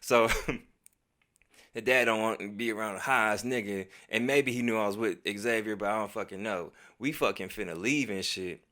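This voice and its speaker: A man's voice: on the low side, moderate pace. An American man telling a casual personal story in heavy slang and profanity, acting out bits of dialogue as he goes. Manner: profane, slangy, animated retelling. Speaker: storytelling vlogger.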